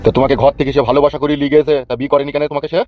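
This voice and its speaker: A man's voice: fairly high pitch, level tone, rapid-fire, highs cut off, a little hiss or hum, natural voice. A man voicing an arrogant, short-tempered village politician in a comic cartoon, blunt and scolding, with a West Bengal accent. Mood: questioning. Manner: self-important, scolding, blunt.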